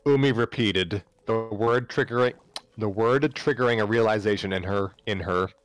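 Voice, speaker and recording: Low-voiced man reading a story over music. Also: moderate pace, smooth, no room sound. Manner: stumbles and repeats phrases while reading. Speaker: narrator, livestream reader. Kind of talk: live story narration, reading aloud. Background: background music.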